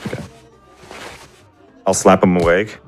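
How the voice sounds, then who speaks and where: A man's voice: low voice, flat delivery, a little slow, faint music behind. A man playing a tabletop game, casually describing what his character does.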